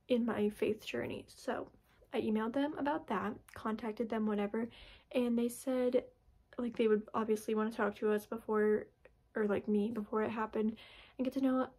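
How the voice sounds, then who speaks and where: A young woman chatting casually to camera in her bedroom, her voice a little raspy from being sick, rambling with many fillers and restarts. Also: on the high side, dark tone. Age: young adult. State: raspy from recent illness. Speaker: vlogger. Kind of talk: casual personal vlog. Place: bedroom, indoors. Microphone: camera in front at close range.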